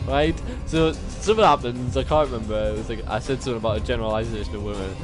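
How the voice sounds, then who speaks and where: Young man, British accent, casual storytelling, informal slang, bantering, clear music in background. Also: mid-range voice, very fast. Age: young adult. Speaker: video host telling stories. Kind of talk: casual chat, anecdotes between friends. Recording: music behind the voice.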